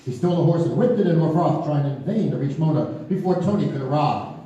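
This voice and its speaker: An actor playing a measured storyteller, narrating a live stage radio play. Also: male voice, on the high side, animated, dark tone, highs cut off, lightly acted. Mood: storytelling. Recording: echoey theater, distant mic, faint noise.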